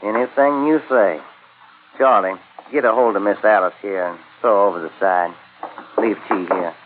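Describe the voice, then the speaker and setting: A man's voice: lowish voice, normal speed, close to an everyday voice. A man playing a menacing, mock-friendly gunman with folksy, drawling talk, in 1940s radio adventure style. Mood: mock-friendly menace. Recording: tinny noisy old radio recording.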